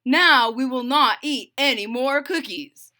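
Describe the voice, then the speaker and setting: Woman playing a bright, sensible storybook frog. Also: sits high, measured pace. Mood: firm, satisfied. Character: sensible, determined male frog, gently instructing his friend. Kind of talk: children's storybook read-aloud.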